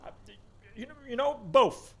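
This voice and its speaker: A man's voice: very high voice, moderate pace, a bit bright, heavily acted. A man voicing a blustering veteran raccoon sergeant who barks military orders. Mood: defensive. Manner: barking military orders, boastful.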